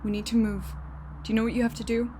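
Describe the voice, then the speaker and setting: A woman's voice: on the high side, level tone, quick pace, mellow, lightly acted. A woman playing a curt, no-nonsense operator of a time machine in an audio drama. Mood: brisk, commanding. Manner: curt, bossy. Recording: clearly noisy background.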